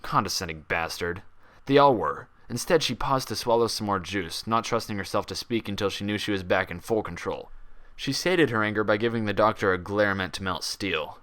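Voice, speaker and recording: A man's voice: lowish voice, moderate pace, a bit bright. A man narrating a scripted fan fiction story in a dramatic reading style.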